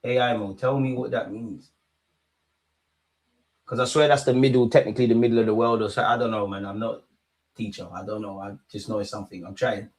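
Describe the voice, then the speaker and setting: A young man with a London accent hosting a football watch-along, chatting casually and excitably in slang with his viewers. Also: fairly low pitch, brisk, rough recording. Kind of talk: casual live reaction commentary and chat with viewers.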